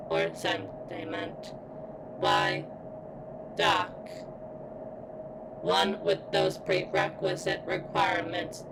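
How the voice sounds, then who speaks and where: A woman voicing a guarded robot girl who yearns for sentience in an ASMR roleplay, speaking in stilted, formal, halting phrases with a strained, pained sound. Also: rumbling low, vocal fry, noisy background, full character voice. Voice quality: strained, as if in constant pain.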